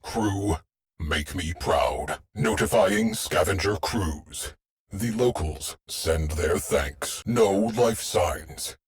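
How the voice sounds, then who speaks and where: A man voicing a terse, dry shipboard AI tactician in a video game, speaking in clipped, deadpan military phrases. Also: rumbling low, drawn-out words, full character voice. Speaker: mission control voice. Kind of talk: scripted game voice lines.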